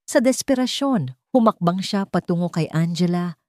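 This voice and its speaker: Lower-pitched woman narrating dramatic fiction. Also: lively intonation, normal speed, dark tone, dry recording, studio quality. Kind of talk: scripted dramatic story narration.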